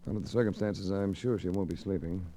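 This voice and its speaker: A man's voice: sits low, fast talker, dark tone, lightly acted. A man playing a tough, wry American investigator with clipped, dry delivery in vintage radio detective style. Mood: brisk, probing. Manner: clipped, hard-boiled, dry. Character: tough, wry male private investigator, cool and sardonic. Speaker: lead actor and first-person narrator. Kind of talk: 1940s-50s radio detective acting. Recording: noisy vintage radio recording.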